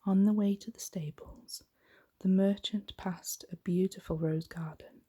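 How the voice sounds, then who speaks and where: A woman gently reading a bedtime story in an unhurried, soft, whispery ASMR style. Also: on the low side. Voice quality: breathy, whispery.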